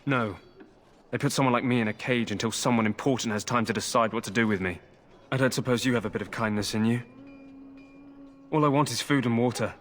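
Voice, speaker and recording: An actor playing a resigned, sly, hungry army deserter in a fantasy game, wheedling in a folksy way. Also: male voice, mid-range voice, brisk, long pauses, close to an everyday voice. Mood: pleading, resigned.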